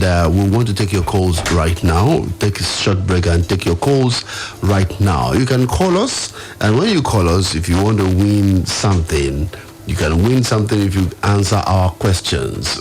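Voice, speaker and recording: Nigerian radio host guy, low voice, repeats stuff for emphasis, faint music. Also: darkish tone. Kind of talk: radio talk show hosting.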